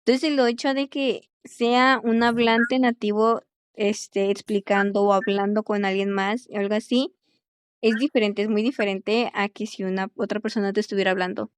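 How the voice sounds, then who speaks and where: Young woman, smooth clear voice, pretty high, chatty with lots of este, dry close sound. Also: level tone, no echo. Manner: chatty, quick, many fillers like este. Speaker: English teacher and language student. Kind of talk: casual conversation.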